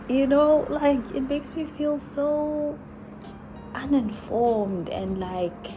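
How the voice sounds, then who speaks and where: A young woman from Africa, musing slowly in a casual travel vlog, full of sighs and likes. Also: sits high, over music, low-quality recording. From South Africa. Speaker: vlogger. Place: in Italy while travelling.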